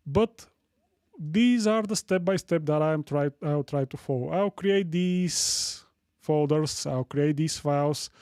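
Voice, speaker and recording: A man from Sofia with a Bulgarian accent hosting a casual live tech tutorial, chatting with viewers in a halting way and repeating phrases for emphasis. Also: a little high, a little mellow, clean tone, dry recording, clean studio sound. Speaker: live stream host.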